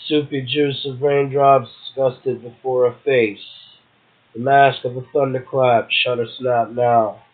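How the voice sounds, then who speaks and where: A man, a guest poet, reading verse and chatting casually. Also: medium pitch. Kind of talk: poetry reading and casual chat. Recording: tinny phone line.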